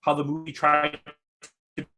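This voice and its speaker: A man's voice: fairly high pitch, monotone, normal speed, bright, forceful tone. A man in a casual movie discussion, speaking as a guest critic with emphatic, hyperbolic complaints.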